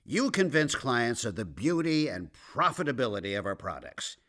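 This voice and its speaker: An actor playing a slick, long-winded pyramid-scheme recruiter in a comedic radio drama, with an officious, salesy manner. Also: male voice, big pitch swings, normal speed, sharp tone. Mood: salesy, persuasive. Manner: salesy, officious, hesitant filler-laden pitch. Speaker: company representative.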